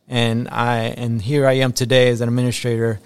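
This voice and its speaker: An earnest man in his thirties, originally from Ecuador, answers interview questions on a school podcast. He speaks at an ordinary pitch for a man, repeating phrases and filling pauses with ums. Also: flat delivery, smooth, no room sound. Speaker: assistant principal.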